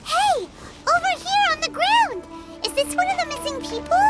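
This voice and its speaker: A woman voicing a tiny, excitable fairy-child companion in a video game, cheeky, eager and childlike. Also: extremely high pitch, normal speed, faint music behind. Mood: alarmed. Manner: childlike, refers to self in third person.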